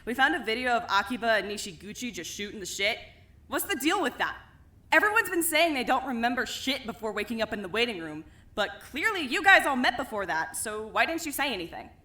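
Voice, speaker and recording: A woman's voice: on the high side, very animated, a little fast, sharp tone. A woman playing a blunt, swearing young woman. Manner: blunt, casual, swears freely. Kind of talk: fan audio drama acting.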